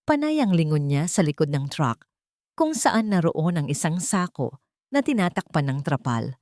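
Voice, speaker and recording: A woman narrating a dramatic story with steady scripted delivery. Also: deep voice, moderate pace, dry recording, clean studio sound.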